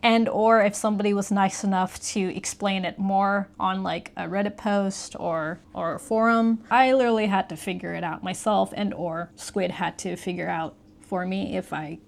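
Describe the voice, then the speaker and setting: A woman's voice: medium pitch, fairly even intonation, normal speed, creaky. A woman giving a casual, conversational product review, explaining things as she goes.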